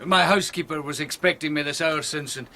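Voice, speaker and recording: An actor playing an earnest, courteous young Scottish Presbyterian minister in a radio drama, educated and slightly hesitant. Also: male voice, a little high, fairly quick, sharp tone. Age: young adult.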